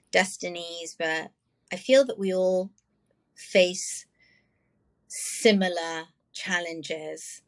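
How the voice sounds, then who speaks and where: Reflective woman, low voice. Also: fairly bright tone. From London, England. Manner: flowing, reflective, long elaborate sentences. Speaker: wellness practitioner, guest. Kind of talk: interview answers.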